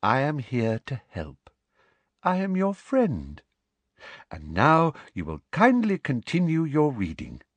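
A man's voice: mid-range voice, huge pitch swings, slow, no echo, voice pushed up, full character voice. A man voicing a smug, sickly-sweet middle-aged female teacher from a storybook, with a honeyed trill and a precise, dismissive manner. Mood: triumphant, falsely sweet. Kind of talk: audiobook character voice.

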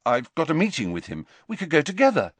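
Man voicing an urgent, lively teenage boy. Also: fairly high pitch, fast talker, slightly rough, no echo, voice pushed up, exaggerated voice. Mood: insistent. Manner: urgent, pressing, blunt. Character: earnest, impatient teenage boy questioning an adult.

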